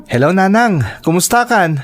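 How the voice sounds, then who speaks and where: A man's voice: high-pitched, expressive, moderate pace. A man playing an affectionate, earnest adult son working abroad, in a dramatic radio-style performance. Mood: affectionate, upbeat.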